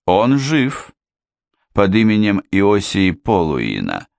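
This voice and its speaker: A man voicing a composed, polite male lawyer who speaks in a measured, firm way. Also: on the low side, wildly swooping pitch, creaky, a little mellow, no room sound, heavily acted. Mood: calm. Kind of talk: audiobook character voice.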